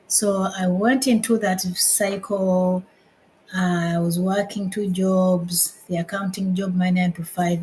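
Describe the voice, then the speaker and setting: Woman in her 50s, from Uganda, live-stream host; slow, halting, repeats herself; light background hiss. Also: fairly low pitch, dark tone, low-quality recording. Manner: unhurried, repeats phrases, halting restarts. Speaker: livestream host. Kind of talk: casual live-stream talk.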